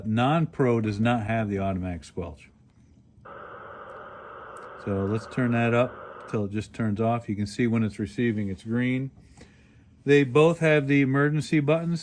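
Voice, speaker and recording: A man's voice: mid-range voice, a little mellow. A man giving a casual hands-on product review as a video host, explaining features plainly and step by step as he handles the gear. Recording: clearly noisy home-made recording.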